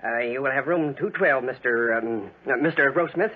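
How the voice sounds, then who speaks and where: An actor playing a deferential, obliging hotel clerk in 1940s radio style. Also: male voice, mid-range voice, normal speed, natural voice. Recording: dull, noisy old radio recording.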